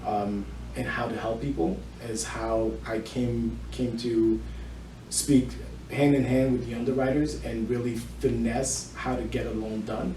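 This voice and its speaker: A man's voice: medium pitch, fairly even intonation, measured pace, mellow. A middle-aged man speaking as a mortgage loan officer, explaining his work as an interview guest. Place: indoor room with small audience. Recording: echoey room, distant mic, faint noise.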